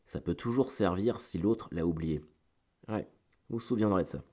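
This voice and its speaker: Slightly stuffy-nosed Frenchman with a lowish voice. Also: normal speed, darkish tone. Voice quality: slightly congested, stuffy nose from a cold. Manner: informal, digressive, hesitant with repeated words, slangy. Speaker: stand-up comedian hosting a solo weekly show. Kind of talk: casual solo podcast chat to listeners.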